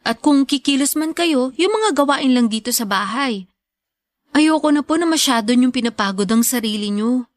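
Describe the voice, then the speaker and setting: A high, youthful voice for a devoted student daughter. She is respectful and earnest, reassuring her mother in Filipino radio drama style. The sound is dry and close. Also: lively intonation, normal speed, no echo.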